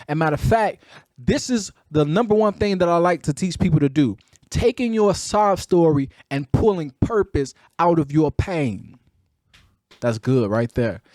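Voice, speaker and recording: A man with a Chicago accent and a somewhat high-pitched voice speaks as a motivational podcast host. His delivery is colloquial and emphatic, close to preaching, with repeated phrases driven home. The sound is dry, with very little room echo. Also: darkish tone. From Chicago, United States. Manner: emphatic, repetitive, colloquial, drawing out key words.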